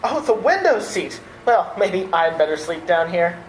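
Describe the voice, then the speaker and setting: A woman's voice: on the low side, big pitch swings, normal speed. A woman playing an exasperated, sardonic young man in an old-time radio play. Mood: sardonic, hostile. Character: exasperated, sardonic young male theatre critic. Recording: echoey room, faint noise.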